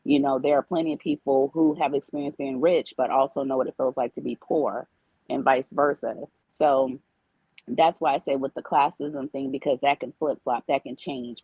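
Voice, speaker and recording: A woman's voice: extremely low pitch, slightly rough, no room sound, muffled recording. A woman speaking as a talk show guest, earnestly making her case and repeating her points in casual discussion. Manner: explains at length, repeats points.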